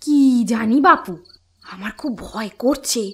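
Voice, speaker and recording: A woman's voice: on the high side, expressive, a little slow. A woman playing a feisty yet anxious village farmer's wife in a Bengali fairy-tale cartoon, exclaiming theatrically. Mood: worried.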